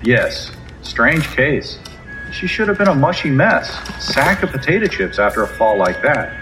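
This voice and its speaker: A man acting a deadpan medical examiner in a radio drama. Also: medium pitch, a little slow, over music, poor audio.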